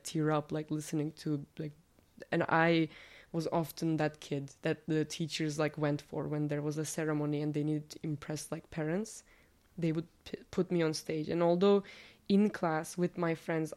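A young woman from Turkey, a native Turkish speaker, talking casually in an interview with a slightly nasal, deep voice and lots of "like". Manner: relaxed, filler-heavy, says "like" a lot.

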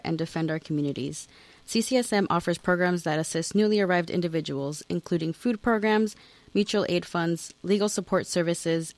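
Low-pitched female reader, even delivery, dry studio sound. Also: no echo. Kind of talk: read public service announcement.

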